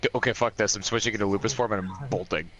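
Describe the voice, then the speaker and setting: Deep, terse male gamer. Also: very fast, fairly bright tone, dull audio, slight background noise. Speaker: tabletop game player. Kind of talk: casual gaming chat.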